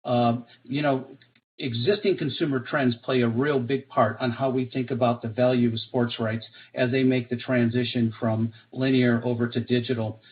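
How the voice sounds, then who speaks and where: A man speaking as a corporate executive on an earnings call, in measured, hesitant corporate phrasing. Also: mid-range voice, level tone. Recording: dull conference call audio, faint noise.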